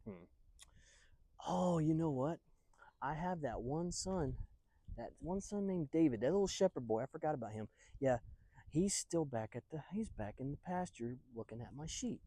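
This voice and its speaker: A man's voice: on the high side, animated, normal speed, dark tone, no room sound, low-quality recording. A man voicing a concerned father musing and giving repetitive instructions in a Bible story. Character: fretting father of soldiers, giving orders to son.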